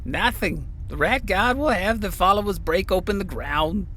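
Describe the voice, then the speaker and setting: Man acting a high-voiced, anguished, accusing mayor. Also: lively intonation, fairly bright tone, slight background noise. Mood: anguished, grim. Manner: dramatic, accusatory, halting delivery. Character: anguished, accusing city mayor hiding a dark secret. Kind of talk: audio drama acting.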